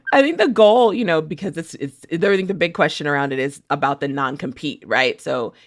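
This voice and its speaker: A woman's voice: low-pitched, expressive, brisk. A woman contributing to a casual podcast discussion, explaining her points informally.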